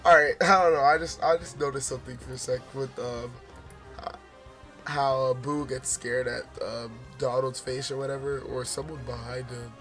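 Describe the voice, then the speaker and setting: Male gaming vlogger, voice going hoarse, casual rambling commentary, mid-range pitch. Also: steady tone, normal speed, fairly bright tone, faint music behind. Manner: rambling, many fillers and restarts; forcing his voice out. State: hoarse, losing his voice. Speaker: gaming video host.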